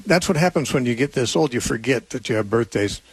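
An older American man in his seventies speaks as a radio host and teacher. His voice is husky and recorded dry, with no room sound. He explains things casually and conversationally, with a self-deprecating touch. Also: medium pitch, lively intonation, a little fast, no echo. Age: 70s. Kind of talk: casual live call-in radio talk.

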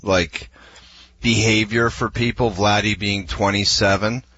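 A fifty-year-old man trading loose, self-mocking banter on sports radio. His voice is bright, a little husky, and sits fairly low. The broadcast sound is slightly muffled. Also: steady tone, unhurried. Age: 50s. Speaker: radio sports show co-host.

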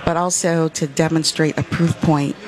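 Guest speaker from West Michigan, mid pitch, measured, explains with lots of uh's. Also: flat delivery, crowd noise. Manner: measured, many "uh" fillers while explaining. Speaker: foundation representative, guest. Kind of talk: explanatory interview answers.

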